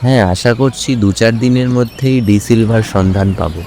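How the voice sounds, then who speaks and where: A man's voice: on the low side, normal speed, darkish tone, slight background noise, close to an everyday voice. A man acting an earnest police officer who reports and asks questions in an audio drama.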